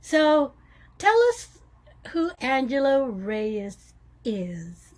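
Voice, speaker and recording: A woman hosting a talk show interview, with an eager, drawn-out way of speaking. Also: sits high, very animated.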